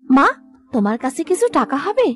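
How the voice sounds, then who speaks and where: A very high-voiced, dutiful village girl from a cartoon moral tale. She speaks earnestly and gently, with lively, swooping intonation and a hopeful, pleading sweetness. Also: fairly quick, no echo, faint music behind.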